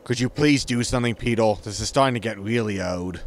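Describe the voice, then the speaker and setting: A man's voice: lowish voice, a little fast, close to an everyday voice. A man playing an exasperated, sarcastic spaceship captain in a science fiction comedy audio drama. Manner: dry, sarcastic.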